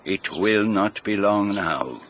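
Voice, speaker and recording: An actor playing a shrewd, vain and courteous foreign detective in a radio mystery, speaking formally and deliberately with pointed questions. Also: male voice, on the low side, expressive, a bit bright. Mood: intrigued, self-assured. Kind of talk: British radio mystery drama acting.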